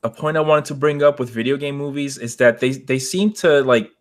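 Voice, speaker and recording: A man co-hosting a podcast in casual discussion, talking haltingly as he feels out each point. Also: medium pitch. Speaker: podcast co-host.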